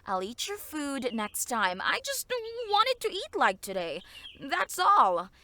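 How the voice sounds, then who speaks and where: Audio drama, annoyed prim sister, played by a woman: bright, slow, exasperated complaining. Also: sits high, huge pitch swings, full character voice. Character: prim, irritated sister interrupted at her meal.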